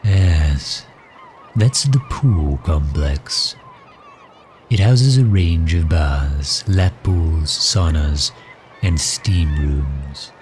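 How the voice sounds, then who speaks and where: Man playing a deep, slow-spoken, courtly villain. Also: faint music behind, full character voice. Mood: proud, explanatory. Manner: gentle, courtly, measured and formal. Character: suave, protective male villain doting on his captive guest. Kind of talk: ASMR audio roleplay.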